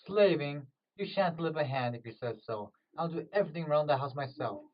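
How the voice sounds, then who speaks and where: A man's voice: a little high, animated, brisk, lightly acted. A man playing a poor, conflicted farmer with rural old-fashioned phrasing in an amateur period drama. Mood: firm, frustrated. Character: poor, conflicted married farmer, secretly in love. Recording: muffled audio, distant microphone.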